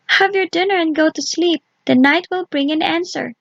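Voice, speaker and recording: A woman puts on a tiny storybook doll, very high and singsong. She is kindly and reassuring, in a dry, slightly dull recording. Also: normal speed, vocal fry, fairly bright tone, no echo, exaggerated voice. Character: tiny, kindly, soothing magical female doll. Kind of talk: children's folk tale reading.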